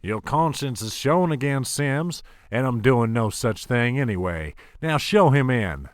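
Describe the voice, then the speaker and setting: A man's voice: mid-range voice, expressive, unhurried, no echo, clean studio sound, natural voice. A man playing a smug, scheming oil baron in an audio drama, silky, condescending and mock-courteous.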